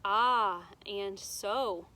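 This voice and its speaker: A woman voicing a stern old storybook grandmother who speaks in a firm, pointed, measured manner. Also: mid-range voice, pushed and bright. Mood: probing. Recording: noticeable background noise.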